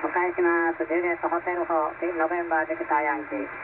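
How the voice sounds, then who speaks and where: Male ham operator, polite contest procedure, slightly high voice, distant and buried in radio noise. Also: little pitch movement, racing. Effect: shortwave radio transmission. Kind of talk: ham radio contest exchange with phonetic call signs. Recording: noisy, narrow shortwave radio reception, distant.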